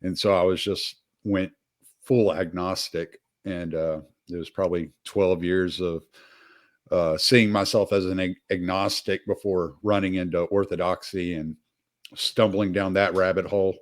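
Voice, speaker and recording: A man in his forties hosts a relaxed talk show, his voice on the low side. He rambles easily and chuckles often. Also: measured pace. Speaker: podcast host. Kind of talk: casual interview conversation.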